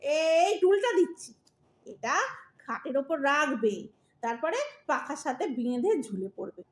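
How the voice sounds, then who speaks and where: A woman's voice: sits high, very animated, unhurried. A woman playing an exasperated old wife who scolds her husband with sarcasm and mock sweetness in a comic audio drama. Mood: mock-sweet coaxing.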